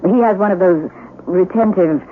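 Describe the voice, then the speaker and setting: A woman's voice: lowish voice, moderate pace, lightly acted. An actress playing a gracious, curious widowed lady of the house in a 1970s radio drama, speaking in a refined, formal way. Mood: playful, probing. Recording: tinny vintage radio recording, background noise.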